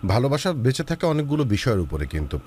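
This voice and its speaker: A man's voice: mid-range voice, fast, husky. A man hosting a relationship advice show, talking with a young caller and mixing in English words. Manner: lively, advising, mixes in English words.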